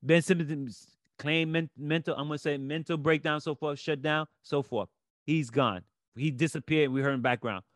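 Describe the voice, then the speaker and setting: A man's voice: a little high, steady tone, moderate pace, dry recording, clean studio sound. A man with a Haitian accent, co-hosting a podcast, arguing about sports with emphatic, rising outbursts. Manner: emphatic, rising to near shouts when worked up. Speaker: podcast co-host. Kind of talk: heated sports debate.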